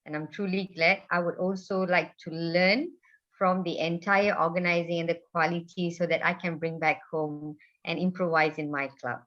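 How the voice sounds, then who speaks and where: A woman from Malaysia speaks politely in a fairly low voice, pausing on fillers. The line sounds dull and muffled. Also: fairly even intonation, a bit bright. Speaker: guest introducing herself.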